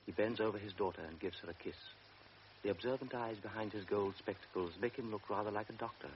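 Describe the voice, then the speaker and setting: Low male narrator, measured old radio drama storyteller. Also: fairly even intonation. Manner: measured, present-tense storytelling. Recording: dull old radio recording with faint hiss.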